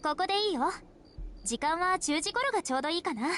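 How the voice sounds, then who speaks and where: A woman's voice: very high-pitched, expressive, rapid-fire, a bit bright. An actress playing a cheerful, playful young female vampire in a Japanese visual novel.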